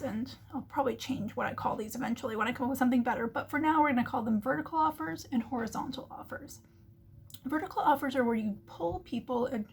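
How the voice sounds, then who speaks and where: Slightly husky, higher-pitched woman explaining casually. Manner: chatty, acts out imagined remarks in little quoted voices. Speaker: host of a marketing commentary show. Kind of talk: casual explanatory business commentary.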